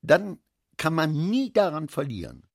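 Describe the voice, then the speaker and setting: A man's voice: on the high side, huge pitch swings, measured pace, gravelly, slightly rough, no room sound, clean studio sound, exaggerated voice. An actor playing a garrulous, self-satisfied middle-aged businessman in a radio drama, chatty and given to philosophizing. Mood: boastful.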